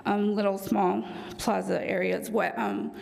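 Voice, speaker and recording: Raspy-voiced woman presenting to council. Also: mid-range voice, level tone, measured pace, a little mellow. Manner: frequent ums, self-correcting. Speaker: police department presenter. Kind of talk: formal presentation to city council. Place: council chamber.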